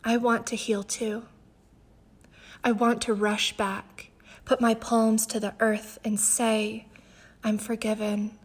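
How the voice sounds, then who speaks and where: A woman, a poet, introducing and reading her own work with a gentle, breathy delivery. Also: a little high, level tone, slow, long pauses. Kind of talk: poetry recitation with spoken introduction.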